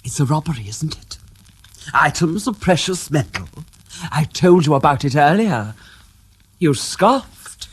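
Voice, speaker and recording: An actor playing a self-assured clairvoyant in a radio crime drama, mysterious and knowing. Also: male voice, a little high, big pitch swings, unhurried, slightly rough, heavily acted. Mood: eerie, intent.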